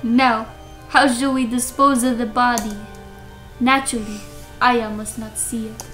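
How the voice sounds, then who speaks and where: A woman's voice: on the high side, flat delivery, measured pace, a bit bright, faint music behind. A young woman voicing a stern, menacing doctor father from a horror game. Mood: sinister, calculating.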